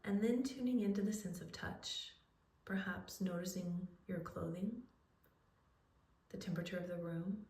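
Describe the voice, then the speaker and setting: A woman leading a guided relaxation, speaking in a soothing, unhurried way with pauses as she gives gentle instructions. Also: lowish voice, steady tone. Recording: roomy echo, distant microphone.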